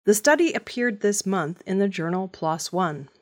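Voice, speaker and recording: A woman's voice: mid-range voice, big pitch swings, normal speed. A woman working as a news reader, delivering scripted news narration.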